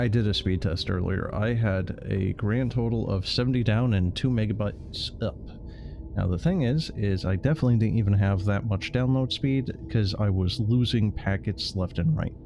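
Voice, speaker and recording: A man's voice: fairly low pitch, noisy background. A man doing casual game stream commentary in a rambling, sardonic, sweary way. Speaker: livestreamer.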